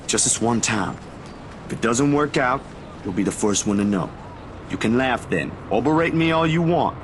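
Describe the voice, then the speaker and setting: A man's voice: lowish voice, noisy background, lightly acted. A man voicing a loyal, stubborn, good-hearted young everyman in a video game drama, speaking in an earnest, slangy way.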